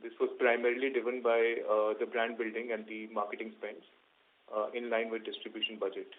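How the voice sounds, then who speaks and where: A man with an Indian accent, a company executive answering questions on an earnings call in halting, measured corporate phrasing. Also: fairly low pitch, flat delivery. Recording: narrow tinny conference phone line.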